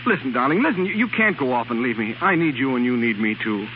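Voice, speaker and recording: Actor as an agitated, fast-talking young husband. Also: male voice, medium pitch, lively intonation, natural voice. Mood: desperate pleading. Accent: American. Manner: stammering, insistent. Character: agitated, stubborn young husband pleading with his estranged wife. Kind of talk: 1930s radio comedy-drama acting. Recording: muffled, noisy 1930s radio recording.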